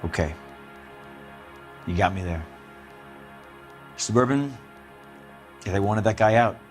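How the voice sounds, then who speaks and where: Slangy repairman under interrogation; evasive, indignant, mid-pitched with lively swings, video game drama, faint music behind. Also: slow pace. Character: evasive, defensive working-class repairman suspect, male.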